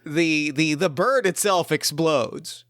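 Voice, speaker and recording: A man's voice: fairly high pitch, takes it slowly, fairly bright tone, no echo, clean studio sound. A man acting as game master, narrating an improvised tabletop game casually and thinking aloud in colloquial language.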